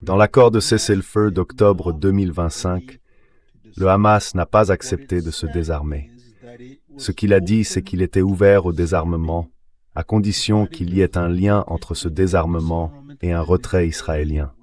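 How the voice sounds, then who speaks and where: A man speaking as an expert guest in a measured, explanatory way, in a translated synthetic voice-over. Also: low voice, fairly even intonation, a little mellow. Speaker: expert guest, international relations professor. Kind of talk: translated voice-over of political analysis.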